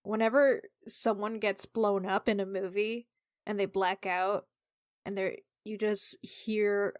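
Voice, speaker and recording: A woman player talks casually during a tabletop roleplaying session. Her voice is medium in pitch and the sound is very dry, with no room around it. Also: little pitch movement, takes it slowly, dry recording. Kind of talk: casual game banter.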